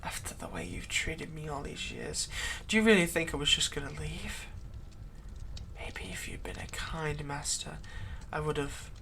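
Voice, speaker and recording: A man playing a bitter, taunting former servant who has just become a vampire, speaking in a hushed, menacing way for a roleplay audio drama. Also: on the high side, big pitch swings, measured pace, creaky, a little hiss or hum, exaggerated voice. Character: vengeful male former thrall, newly turned vampire, bitter and taunting.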